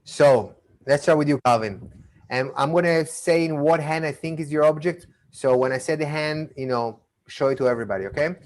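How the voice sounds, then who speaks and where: A man's voice: on the high side, brisk. A man who grew up in Argentina and Israel, with an accent from both, performing a magic show over a video call, chatty and playful with frequent restarts. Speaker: magician and mentalist. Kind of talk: live online magic show patter.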